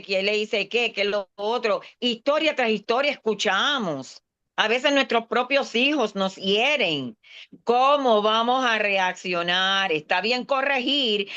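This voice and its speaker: A woman's voice: mid-range voice, fairly bright tone. Earnest woman pastor preaching, lo-fi sound. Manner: earnest, mixes English words into Spanish. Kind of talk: sermon in a class. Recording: dull lo-fi sound.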